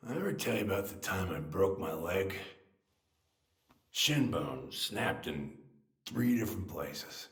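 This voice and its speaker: An actor playing a self-deprecating, grieving middle-aged American man in a video game drama, halting and folksy in manner. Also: low voice, very animated, fairly bright tone, roomy sound, heavily acted. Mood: sorrowful, reflective. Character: self-deprecating, grieving older man, old family friend.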